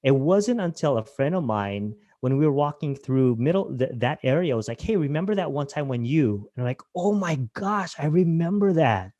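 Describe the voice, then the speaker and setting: A man's voice: fairly high pitch, very animated, normal speed, hardly any pauses, mellow, no room sound, lo-fi sound. A man from Hawaii presenting a webinar, talking conversationally and asking his audience questions. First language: Filipino language.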